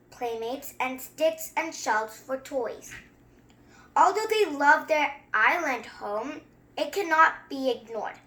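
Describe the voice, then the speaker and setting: Female, very high voice, slow halting delivery, story-time reading, chatty asides, roomy echo. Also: a bit bright. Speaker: host of a picture book story time. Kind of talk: read-aloud storytelling with casual commentary.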